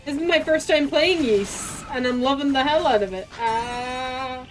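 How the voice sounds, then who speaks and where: A woman's voice: high voice, unhurried, soft background music, poor audio. A woman streaming a game, chatting casually and greeting her viewers with bubbly, giggly energy. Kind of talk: casual live-stream chat.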